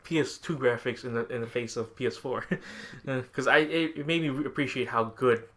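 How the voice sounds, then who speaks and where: A man's voice: mid-range voice, fairly quick, a bit bright. A man co-hosting a casual video game podcast, often chuckling as he talks. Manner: giggly, frequently chuckles while talking. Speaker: podcast co-host.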